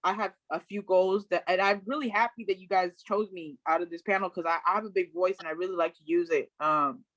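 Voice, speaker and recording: A woman's voice: lowish voice, fairly even intonation, a little fast, a bit bright, no room sound, muffled recording. A woman from Sacramento speaking as a panelist in a casual discussion, outspoken and emphatic, often restarting her sentences. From Sacramento, California.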